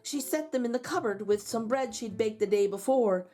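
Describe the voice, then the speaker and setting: A woman reading a children's story aloud in an expressive way with dramatic emphasis. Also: fairly high pitch, moderate pace. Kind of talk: expressive read-aloud for children with chatty asides.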